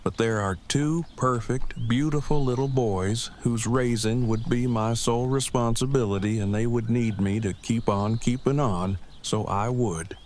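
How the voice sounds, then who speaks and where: A man's voice: medium pitch, unhurried, few pauses, a little mellow, slight background noise, lightly acted. A man playing a devoted husband and father in an audio drama, speaking in wordy, formal, earnest sentences. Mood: tender, earnest. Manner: wordy, formal, stilted phrasing. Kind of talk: fan audio drama acting.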